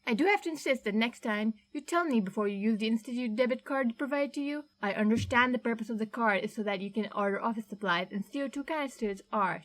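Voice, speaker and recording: A woman reading out a boss's email in a formal, measured, politely menacing tone. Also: a little high, a bit bright, close to an everyday voice, low-quality recording.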